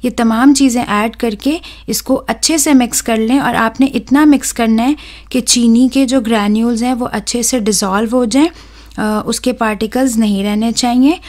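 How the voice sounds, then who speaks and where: A young woman hosts a cooking video and walks the viewer through each step. Her voice sits a little on the high side. She speaks steadily and clearly, close to the mic in a voice-over. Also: normal speed. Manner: steady, instructive, addresses viewers directly. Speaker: cooking channel host. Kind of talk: voice-over recipe tutorial.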